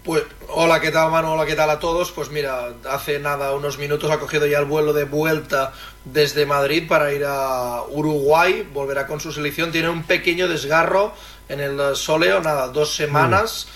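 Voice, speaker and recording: A man's voice: on the high side, steady tone, normal speed, fairly bright tone. A man giving a conversational live sports report on the radio.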